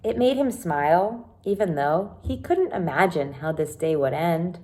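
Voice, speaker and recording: Expressive woman teacher reading aloud to kids. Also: on the low side, a little slow. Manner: explanatory, addresses children simply, with dramatic emphasis. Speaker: elementary teacher. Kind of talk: children's read-aloud lesson with explanations.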